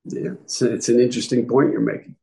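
A middle-aged man with a mellow, warm tone tells his story in a loose, explanatory way. He often stops to correct a word or add an aside as he goes. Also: medium pitch, fairly even intonation, fast talker, gravelly. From upstate New York. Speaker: guest rabbi. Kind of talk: conversational interview answers.

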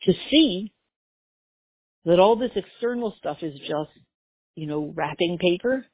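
A woman with a husky, slightly low voice teaches a religious class. She works through ideas with rhetorical questions and homely comparisons. The sound is dry and thin, like a phone line. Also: lively intonation, measured pace, dry recording. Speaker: Torah teacher.

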